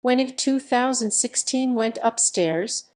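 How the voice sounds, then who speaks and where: A woman's voice: on the high side, slow pace, darkish tone. A woman reading short scripted narration lines for a homemade skit.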